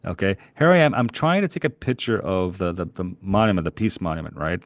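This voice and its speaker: A middle-aged man from Canada with a low, deep voice tells a long, animated story on a podcast. He rambles and chuckles as he goes. The sound is dry and close, with no room echo. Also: fairly quick. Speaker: podcast co-host. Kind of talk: casual chat, travel storytelling.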